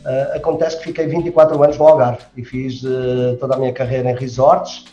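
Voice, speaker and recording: European Portuguese man about 60, flat mellow voice, hesitant, roomy and dull recording. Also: mid-range voice, a little fast, soft background music. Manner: hesitant, many fillers and restarts. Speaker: resort general manager, guest. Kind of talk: interview answers.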